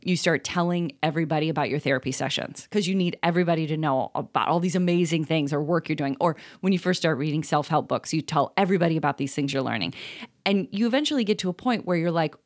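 A woman co-hosting a parenting podcast, talking in a thoughtful, rambling way with many pauses and ums. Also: on the low side, animated. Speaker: podcast co-host. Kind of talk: casual chat.

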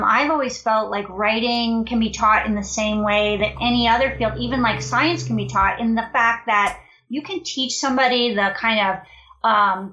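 A woman's voice: on the high side, bright, forceful tone, reverberant, rough recording. A woman who co-directs a creative writing program, talking casually in an interview with many fillers and restarts.

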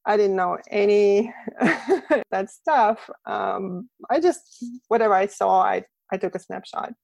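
A woman's voice: medium pitch, slow, gravelly, darkish tone, no echo. A woman from Russia with a Russian accent, a photographer being interviewed, chatting casually and laughing often between phrases. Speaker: guest, boudoir photographer.

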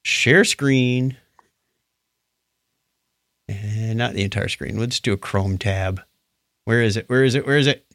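A middle-aged or older man hosting a casual tech talk show, chatting conversationally and thinking out loud as he goes. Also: on the low side, a little slow, pauses often, no echo, studio quality. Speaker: podcast host.

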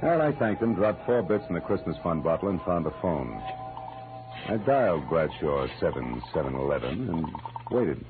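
Deep-voiced hard-boiled American private eye. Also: male voice, sing-song, moderate pace. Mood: wry narration. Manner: terse, dry, wry. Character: hard-boiled male private detective, wry and dogged. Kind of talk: 1940s radio drama acting with first-person narration. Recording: muffled old-time radio recording with music.

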